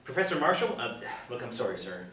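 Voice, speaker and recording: A man's voice: medium pitch, very animated, quick pace, fairly bright tone. Man reading as flustered student, very echoey room. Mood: flustered, apologetic. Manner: hesitant, stammering. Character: flustered, apologetic young male university student. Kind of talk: script table read. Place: small indoor room, group table reading. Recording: echoey room, distant mic, faint noise.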